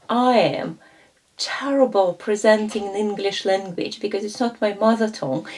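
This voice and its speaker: A woman's voice: medium pitch, a little mellow. A woman giving a coaching lesson, explaining concepts and acting out short coach and client exchanges, with fillers and self-corrections. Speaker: coaching instructor. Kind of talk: lecture with role-play examples.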